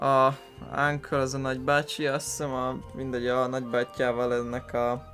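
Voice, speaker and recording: A Hungarian-accented man giving casual let's play commentary, informal and halting as he searches for words. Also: medium pitch, monotone, fairly bright tone. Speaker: gaming video host. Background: game music.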